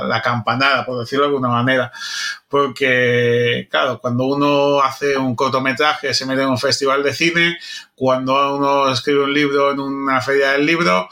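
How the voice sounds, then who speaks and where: A man's voice: mid-range voice, pushed and bright. A man from co-hosting a casual, reflective discussion, repeating words as he goes. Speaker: co-host and audio fiction creator.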